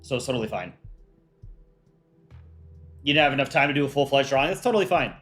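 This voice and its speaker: A man's voice: mid-range voice, animated, fast talker, a bit bright, soft background music. A man hosting an art contest on a livestream, reading out viewer chat and answering it offhand in casual talk.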